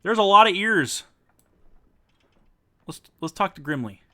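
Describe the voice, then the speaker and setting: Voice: mid-range voice, expressive, unhurried, sharp tone. A streamer casually narrating and reacting to a story game, exclaiming at choices. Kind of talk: casual let's-play chat and narration.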